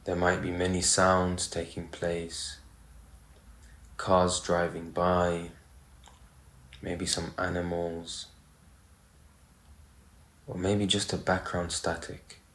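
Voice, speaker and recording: A man leading a guided meditation, speaking in short phrases with pauses between them. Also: low-pitched, completely flat delivery, fairly bright tone. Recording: roomy, echoey sound.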